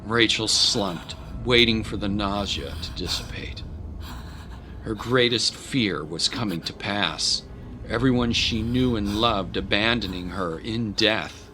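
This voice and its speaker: A man's voice: lowish voice, takes it slowly, noisy background. A man giving grave, literary, scripted narration for a dramatic story. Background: music bed.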